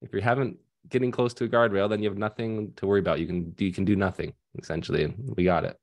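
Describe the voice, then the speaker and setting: A deep-voiced man in his thirties speaks over a headset mic on a video call from a room at home. He explains casually and loosely, full of fillers and restarts. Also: fairly even intonation, quick pace. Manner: conversational with many fillers and restarts. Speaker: financial advisor panelist. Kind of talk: casual panel discussion.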